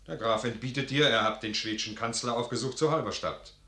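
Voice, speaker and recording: An actor playing an impatient, reproachful officer and confidant in a classical German radio drama, speaking in a pressing, pointed way. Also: male voice, medium pitch, fairly quick, bright, forceful tone. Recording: echoey, roomy radio play recording.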